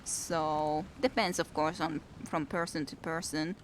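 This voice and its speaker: A woman's voice: sits low, fairly even intonation, unhurried, a little hiss or hum, rough recording. A woman with a Finnish accent giving casual, rambling commentary as she plays a video game, wry and chatting to her viewers. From Finland. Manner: rambling, wry, talks to herself and her chat. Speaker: streamer playing a video game. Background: game music.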